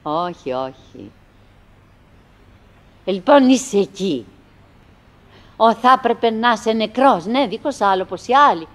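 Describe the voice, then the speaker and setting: An actress playing a wistful, lonely, chattering wife in a theatrical radio play, musing in fragments and repeating herself. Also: female voice, medium pitch, animated, natural voice. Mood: musing, melancholy. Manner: fragmented, repetitive musing with pauses and self-address.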